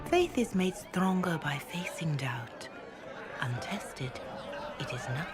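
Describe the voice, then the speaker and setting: Hushed, slow-spoken wise older woman. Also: deep voice, animated, over loud music, over a noisy crowd. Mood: gentle, firm. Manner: measured, solemn, consoling. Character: wise, devout older woman counselor, calm and grave. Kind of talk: dramatic game dialogue.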